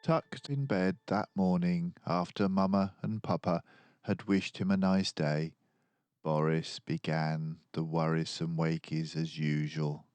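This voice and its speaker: A man reading a children's storybook aloud in an animated, expressive way, acting out the story. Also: low-pitched, slow pace, smooth, no echo. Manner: animated, acts out dialogue. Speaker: children's book reader.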